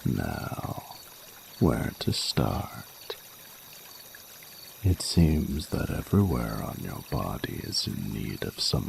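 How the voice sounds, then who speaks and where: A man's voice: very deep voice, creaky, slight background noise, full character voice. A man voicing a flirty, courtly male dragon in a romantic audio roleplay, speaking formally and soothingly in intimate ASMR style. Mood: tender, soothing. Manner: formal, flowery, unhurried, soothing speech. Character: ancient-sounding flirty male dragon, tender, courtly lover.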